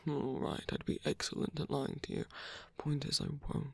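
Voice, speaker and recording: A man playing a weary, tender villain laid up in pain, speaking haltingly and softly in an intimate ASMR roleplay. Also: on the high side, big pitch swings, no echo, exaggerated voice. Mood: tender, earnest. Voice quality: breathy, creaky. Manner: hesitant, halting, soft-spoken.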